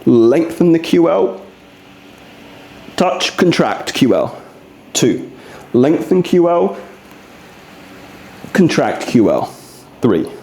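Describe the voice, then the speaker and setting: A man's voice: a little high, sing-song, extremely slow, long pauses, dark tone. A man talking casually and earnestly while demonstrating rehab exercises, in a confiding, conversational fitness vlog manner. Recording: echoey, roomy sound.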